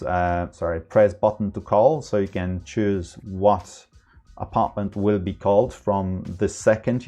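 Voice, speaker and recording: A man presenting an informal, unscripted software tutorial, explaining step by step in a halting, hesitant manner. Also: deep voice. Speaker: product trainer, tutorial presenter.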